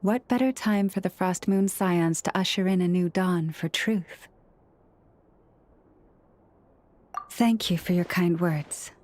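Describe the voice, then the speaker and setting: A woman voicing a gentle young priestess in a video game, soft-spoken, earnest, measured and formal. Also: on the low side, natural voice. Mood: grateful, solemn. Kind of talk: English video game voice acting.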